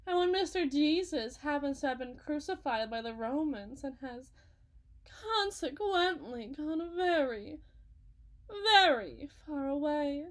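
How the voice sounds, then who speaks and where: A woman playing a folksy, grandiose con-artist faith healer in a scripted roleplay, with theatrical sales patter and dramatic pauses. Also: high voice. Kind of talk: scripted ASMR roleplay monologue.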